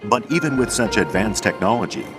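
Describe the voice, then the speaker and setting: A man delivering scripted documentary narration in a measured, deliberate manner. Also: lowish voice, lively intonation. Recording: music under the voice. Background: background music.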